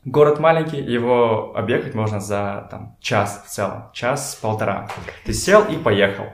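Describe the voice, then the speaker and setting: A young man from Kazakhstan with a fairly low voice chats as a guest in an echoey room at home. He tells his stories informally, with slangy words, working out his thoughts as he goes. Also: normal speed, a little hiss or hum. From Kokshetau, Kazakhstan. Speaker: podcast guest, photographer and art director. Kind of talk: casual conversational storytelling. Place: indoors in a home.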